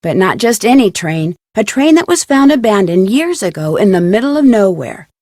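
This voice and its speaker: A young woman playing a plucky, curious amateur detective in scripted video game dialogue, with a clear, earnest, chatty delivery. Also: medium pitch, sing-song, normal speed, dark tone, no echo, clean studio sound. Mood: excited, slightly uneasy. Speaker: voice actor as game heroine.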